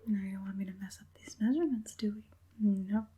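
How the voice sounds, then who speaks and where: A woman voicing a sly, falsely soothing evil witch puppet master in a breathy, coaxing whisper for an ASMR roleplay. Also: medium pitch, mellow. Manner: coaxing, unhurried, sinisterly sweet.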